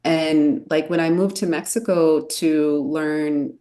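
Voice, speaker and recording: A woman's voice: sits low, steady tone, takes it slowly, mellow. A woman in her mid-thirties, an educator and podcast guest, speaking in an earnest, reflective way. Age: 30s. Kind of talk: casual interview chat.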